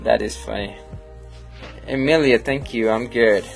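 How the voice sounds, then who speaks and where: A man's voice: mid-range voice, measured pace, gravelly, faint music behind. A man with a Colombian accent, a Spanish native speaker, chatting on a live stream while he edits photos, rambling with lots of fillers. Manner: rambling and friendly, many fillers, reads chat aloud. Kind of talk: casual live-stream chat while editing.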